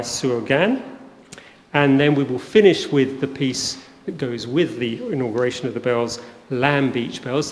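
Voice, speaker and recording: A man acting as master of ceremonies, introducing a concert conversationally with many hesitant ums. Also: medium pitch. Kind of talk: informal spoken introduction to a concert. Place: inside a church at a live event. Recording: reverberant church, faint background noise.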